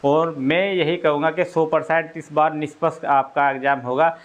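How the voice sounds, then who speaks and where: A man with a slightly high voice talks as an exam coach. He explains things informally, point by point, and goes back over each one with frequent little checks for understanding. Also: fairly even intonation, normal speed, lo-fi sound. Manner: repetitive, explanatory, often checks with "okay".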